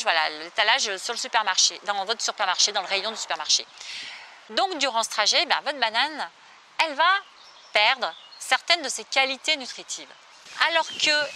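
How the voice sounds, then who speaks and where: A woman's voice: a little high, bright, forceful tone, a little hiss or hum. A French woman in her forties, a fitness and nutrition vlogger, chatting casually to camera with many fillers and restarts.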